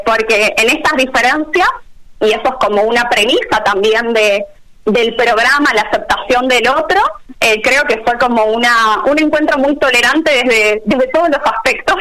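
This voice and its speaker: A woman teacher with an Argentine accent, talking quickly with many hesitations on a video call. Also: on the high side, fairly bright tone, rough recording. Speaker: special education teacher. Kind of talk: answering questions on a video call panel.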